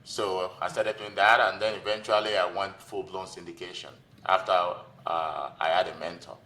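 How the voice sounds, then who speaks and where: Bright, low-voiced Nigerian man. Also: steady tone, moderate pace. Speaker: podcast guest, physician and investor. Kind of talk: conversational interview, personal story.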